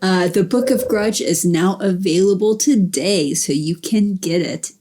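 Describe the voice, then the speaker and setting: A woman's voice: on the low side, unhurried. A woman co-hosting a podcast, giving news in casual chat. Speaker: podcast co-host reading news. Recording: loud background noise and clicks.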